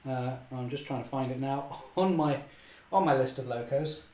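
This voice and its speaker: A young man, slightly hoarse, chatting cheerfully through a live model railway session and correcting himself often. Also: medium pitch, normal speed, a little mellow, roomy sound. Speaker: model railway channel host. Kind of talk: live-stream running commentary.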